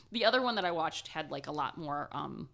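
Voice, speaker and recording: A nasal woman in her thirties chats as a podcast co-host, relaxed and quick to laugh. Her voice sits a little low for a woman. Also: vocal fry. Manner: chatty, laughs often. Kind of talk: casual chat.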